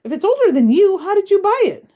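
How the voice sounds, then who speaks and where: A woman voicing a curious, excited six-year-old girl in a read-aloud story, asking question after question. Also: fast, muffled recording. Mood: eager. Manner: high-pitched, eager questioning.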